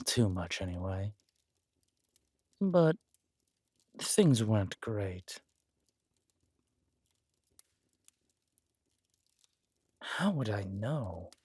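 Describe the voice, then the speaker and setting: Villain king for audio roleplay, male actor: husky voice, very close and dry, smug, flirtatious, haughty. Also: fairly low pitch, a little mellow, no room sound, exaggerated voice. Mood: wry, candid. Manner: teasing, haughty, deliberate with drawn-out words. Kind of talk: dramatic romantic roleplay audio.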